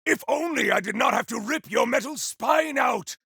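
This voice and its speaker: An actor voicing a bombastic video game warrior with a rough, gravelly voice and grandiose, formal delivery. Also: male voice, very high voice, lively intonation, normal speed, bright, forceful tone, no echo, clean studio sound, full character voice. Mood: battle fury. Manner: grandiose, theatrical, formal uncontracted speech. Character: bombastic warrior bellowing battle cries with grim relish. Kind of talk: video game combat barks.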